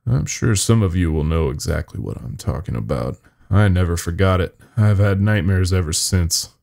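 A man's voice: sits low, normal speed, gravelly, a little mellow. A man narrating a first-person horror story in a weary, haunted, folksy storytelling manner.

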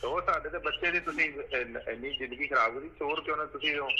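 Man, very sharp forceful tone, fairly high pitch, insistent, repetitive, phone conversation. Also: quick pace, husky. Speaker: caller. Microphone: telephone. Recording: muffled lo-fi phone call recording.

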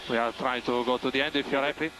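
Man, race engineer, team radio transmission, terse repeated instructions, medium pitch, very noisy with engine sound. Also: fairly even intonation, fast. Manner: terse, often repeats phrases for clarity. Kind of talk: clipped, factual radio instructions.

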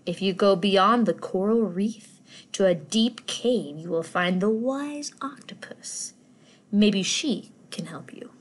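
A woman voicing a helpful starfish in a storybook, speaking gently and hushed. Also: mid-range voice, takes it slowly, close to an everyday voice. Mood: gentle.

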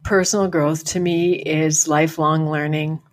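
A woman's voice: sits low, level tone, measured pace. An older woman coach from Canada, talking upbeat and encouraging as a podcast guest over a call. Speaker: farm family coach. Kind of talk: podcast interview. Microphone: remote call.

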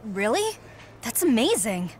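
A woman's voice: a little high, big pitch swings, a little slow, a little hiss or hum. An actress playing a cocky young female wrestler in a video game cutscene, speaking in a dry, teasing, confident way.

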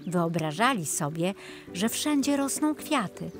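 A woman's voice: lowish voice, big pitch swings, a little slow. A woman reading a children's audiobook story as a scripted narrator. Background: music.

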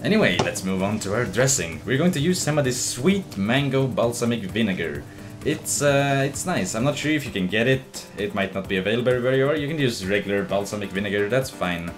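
A young man narrating a casual cooking video in a relaxed, joking way, with fillers. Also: on the low side, brisk. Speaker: cooking show host. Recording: voice-over with clear background music.

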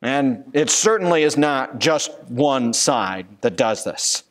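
Slow-talking pastor preaching from a pulpit. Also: male voice, medium pitch, lively intonation. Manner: earnest preaching with rhetorical questions. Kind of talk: sermon. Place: church sanctuary, at a pulpit. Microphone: pulpit microphone.

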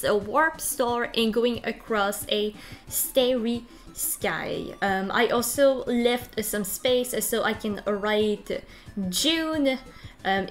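A woman's voice: fairly high pitch, a bit bright. A young woman from Quebec with a French Canadian accent, chatting casually with lots of ums as she narrates her bullet journal video. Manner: chatty, many ums and uhs, light laughs.

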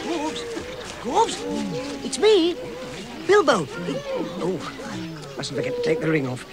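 A man's voice: very high-pitched, wildly swooping pitch, slow pace, loud music behind the voice, full character voice. A man with a British accent playing a small, plucky, fussy hobbit in a radio drama, flustered and prone to stammering. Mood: brisk, urgent. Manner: flustered, stammering, muttering to himself.

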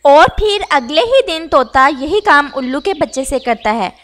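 A woman's voice: high-pitched, lively intonation, unhurried, a bit bright. A woman reading scripted narration for a children's story.

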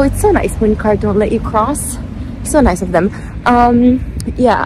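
A woman's voice: mid-range voice, darkish tone, rough recording. A chatty woman in her thirties vlogs while walking to work, holding her phone at arm's length. Faint street traffic hums behind her outdoor recording. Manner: chatty, upbeat. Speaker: book vlogger. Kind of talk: casual walking vlog. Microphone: handheld phone at arm's length.

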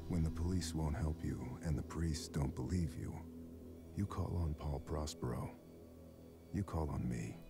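A brooding occult detective with a very deep, creaky voice. He narrates a noir mystery game in a slow, weary, grave manner. Music plays underneath. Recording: music behind the voice.

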